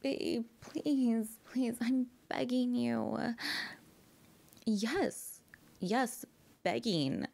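Bratty young girlfriend, acted by a woman, creaky and high. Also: very slow, pauses often, mellow, heavily acted. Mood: pleading, pouty. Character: bratty pouting girlfriend begging her partner. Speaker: audio roleplay performer. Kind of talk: girlfriend roleplay ASMR.

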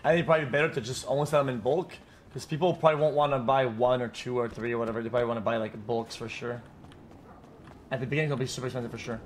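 A young man with a mid-pitched voice, rambling quickly and hedging everything he says. Faint noise sits behind his voice. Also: steady tone. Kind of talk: casual gaming chat with friends.

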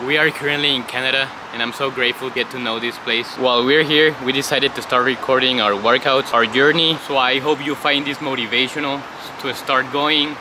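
A man's voice: medium pitch, noisy background. A man in his twenties talks casually to viewers and coaches them through his workout in an earnest, encouraging way. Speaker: fitness vlogger. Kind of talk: casual motivational vlog and workout instruction. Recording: loud music over the voice.

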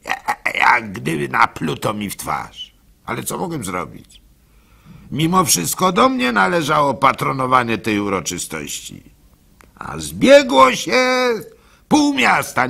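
Raspy, gravelly village fool, swooping delivery. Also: male voice, mid-range voice, sharp tone, heavily acted. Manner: folksy, rueful, theatrical with sighs and interjections. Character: gullible, plaintive village fool telling his misfortunes. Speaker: radio drama actor. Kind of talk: first-person dramatic monologue storytelling.

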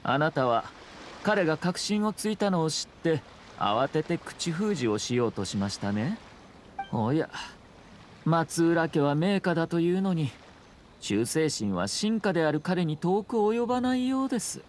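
Slyly polite young nobleman, lively pitch. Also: measured pace. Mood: politely scathing. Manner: polite, formal, veiled sarcasm. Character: refined young nobleman commissioner, calm, slyly mocking. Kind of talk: Japanese anime game voice acting. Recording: game dialogue over ambient scene noise. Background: game scene ambience.

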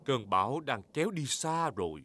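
Man as a jolly, very animated cartoon daddy pig. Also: mid-range voice, moderate pace, very noisy, natural voice. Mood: reassuring. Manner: hearty, reassuring. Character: jolly, boastful, reassuring father pig. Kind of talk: dubbed preschool cartoon acting. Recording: loud music behind voice.

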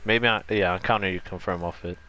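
A man's voice: low-pitched, fairly even intonation, fairly quick, noisy background. A man giving a casual, unscripted gameplay explanation, rambling and correcting himself as he goes. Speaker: video game tutorial creator.

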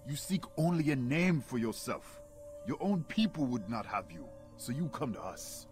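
An actor playing a scornful alien native challenger in a video game. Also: male voice, medium pitch, sing-song, normal speed, over music, lightly acted. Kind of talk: video game character acting.

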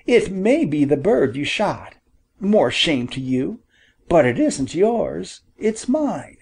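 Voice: medium pitch, very animated, takes it slowly, creaky, a little mellow, husky, voice pushed up, full character voice. A reader voicing a young farm boy who fiercely scolds a hunter while protecting a wounded bird, in an audiobook. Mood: indignant. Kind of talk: audiobook character voice.

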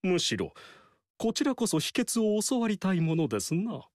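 An actor playing a vain male military strategist in a game, boastful and theatrical, with dialogue that swings between loud pride and soft slyness. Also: high-pitched, very animated, moderate pace, no room sound, studio quality. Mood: sly. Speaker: game character voice. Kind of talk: Japanese game dialogue acting. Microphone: dry studio mic.

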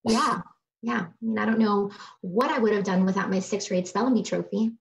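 A woman's voice: mid-range voice, fairly quick, vocal fry, natural voice. A woman acting an exasperated, pleading grown daughter, a struggling artist, in a live comedy table read. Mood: exasperated, apologetic. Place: at home on a video call. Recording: dull lo-fi video call audio.

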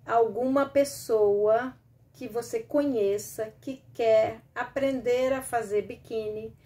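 A woman's voice: on the high side, darkish tone. A middle-aged Brazilian woman hosting a casual sewing live stream from a small room at home, chatty and rambling with many restarts, talking into a close webcam. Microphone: webcam or laptop camera close up.

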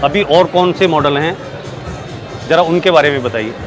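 A man with a slightly high voice hosts an interview on location. He questions and affirms, often repeating points back. Crowd chatter and music run clearly behind him. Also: normal speed. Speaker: interviewer, channel host. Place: indoor trade fair booth. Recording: busy exhibition hall crowd, background music.

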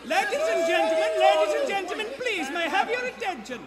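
An actor playing an earnest, excitable Scotland Yard detective with urgent, declamatory delivery in a stage radio play. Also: male voice, very high voice, fast talker, over a noisy crowd. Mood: alarmed, pleading. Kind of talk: 1940s radio play acting. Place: theater stage in front of an audience. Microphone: standing stage microphone. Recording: live theater stage, long reverb, music behind.

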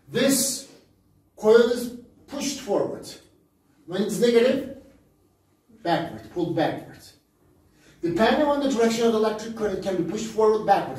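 A man's voice: high voice, a little mellow. Older Turkish-accented man lecturing in echoey room. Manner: repetitive, step-by-step explaining in short phrases. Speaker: physics teacher. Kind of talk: classroom lecture explanation. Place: small indoor classroom at a smartboard. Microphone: camera several metres away. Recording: very echoey room, distant microphone.

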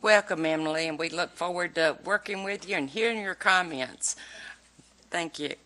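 Hoarse older woman chairing a meeting. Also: fairly low pitch, sharp tone, poor audio. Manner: formal, halting with many fillers. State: hoarse from a cold. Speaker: board chair. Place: large public meeting room. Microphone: desk microphone.

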